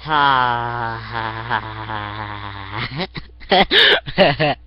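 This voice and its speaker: A young man talking with a helium-raised voice, giddy and loud, into a headset mic. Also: mid-range voice, extremely slow, slight background noise. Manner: giddy, loud, laughing exclamations. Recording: dull lo-fi headset audio.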